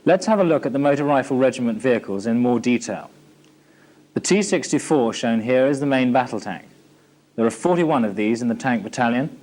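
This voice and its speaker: A British man delivers scripted instructional narration for a military training film. His voice sits at a medium pitch. He speaks formally and matter-of-factly, setting out information in an orderly way. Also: darkish tone. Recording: 1980s archive training film soundtrack.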